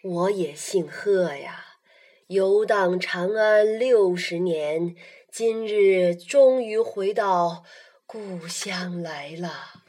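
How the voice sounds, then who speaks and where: Woman voicing a slow, wistful old scholar. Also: lowish voice, darkish tone, pitched below the performer's own voice. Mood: wistful, nostalgic. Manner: slow, sighing, drawn-out delivery. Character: homesick old scholar-official, 86, gentle and wistful. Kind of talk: children's radio play acting.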